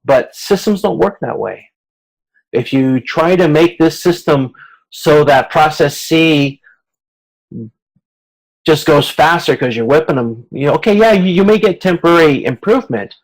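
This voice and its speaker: A man's voice: fairly high pitch, expressive, measured pace, rough recording. A man giving an informal lecture over a video conference, explaining in colloquial language and often acting out short hypothetical remarks. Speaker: lecturer in supply chain management.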